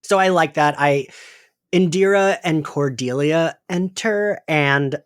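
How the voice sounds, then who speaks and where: A man's voice: a little high, slow pace, clear, resonant tone, no room sound, clean studio sound. A man co-hosting a casual fan podcast, enthusiastic and rambling, swearing freely. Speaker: podcast co-host.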